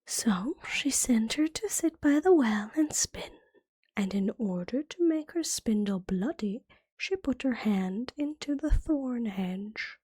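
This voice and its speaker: A woman reading a fairytale as ASMR in a soft, breathy whisper, gently and carefully, like a bedtime storybook. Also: fairly high pitch, expressive, takes it slowly, no room sound.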